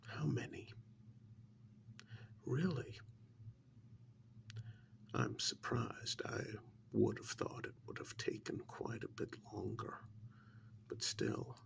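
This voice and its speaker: A very slow, heavily creaky male voice slips in and out of a whisper, a man acting out a sly, menacing interrogator in an ASMR role-play. He leaves long, insinuating pauses and needles his listener with mock admiration. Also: mid-range voice, heavily acted. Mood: accusing, sly. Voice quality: breathy whisper mixed with gravelly creak.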